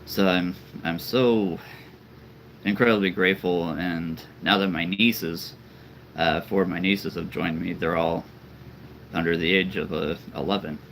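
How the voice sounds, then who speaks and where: A man with a very deep voice, chatting casually and rambling on a live stream as a toy-collecting channel host. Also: steady tone, unhurried, fairly bright tone, a little hiss or hum, rough recording. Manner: chatty and rambling, laughs often.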